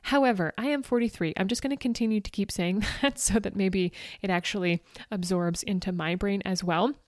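A woman's voice: medium pitch, brisk, no room sound. Upbeat chatty woman in her forties, dry close mic. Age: 40s. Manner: upbeat, chatty, laughs often, addresses listeners as "you guys". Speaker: podcast host. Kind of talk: motivational solo podcast talk.

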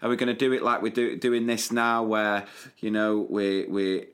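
A man co-hosting a podcast in relaxed casual chat, plugging tickets and asking after his co-host. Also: fairly low pitch, normal speed. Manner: relaxed, promotional plugs.

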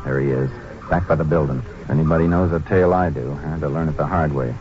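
A man playing a tough, calm, laconic Texas Ranger in a 1950s western radio drama. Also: extremely low pitch. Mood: cool, steadying. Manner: laconic, unhurried, folksy. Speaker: lead actor and narrator. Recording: muffled old-time radio recording with music.

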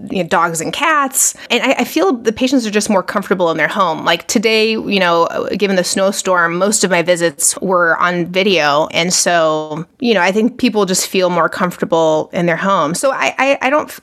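Doctor lady from argentina chatting away, lots of like and you know, kinda low voice, keeps restarting. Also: moderate pace, few pauses, a bit bright. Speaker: physician, podcast guest. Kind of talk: casual conversational interview.

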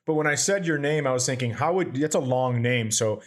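A man hosting a podcast, telling stories in a casual, profane, animated way. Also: mid-range voice, fairly quick. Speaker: podcast host.

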